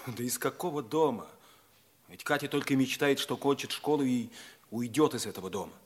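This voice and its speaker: Man playing an earnest, husky-voiced schoolboy in love. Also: mid-range voice, close to an everyday voice. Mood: agitated. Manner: fervent, dramatic declaiming with pauses. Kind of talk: 1950s theatrical radio drama acting. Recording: vintage 1950s radio play recording.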